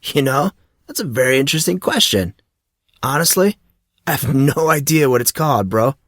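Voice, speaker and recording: A man's voice: mid-range voice, animated, moderate pace, a bit bright, no echo, studio quality, pitched above the performer's own voice. A man voicing a laid-back teenage boy who is keen on martial arts and talks in casual dude-and-bro slang.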